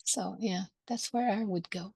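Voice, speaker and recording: Woman, slow, low and gravelly, hesitant. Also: darkish tone, dry recording, studio quality. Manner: hesitant, measured.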